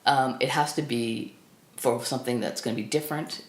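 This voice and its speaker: A woman in her fifties from Canada, whose first language was Estonian, recalling her life in a reflective, halting way with many restarts. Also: rumbling low, steady tone. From Burlington, Ontario, Canada. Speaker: film critic guest. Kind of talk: reflective personal storytelling. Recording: echoey room.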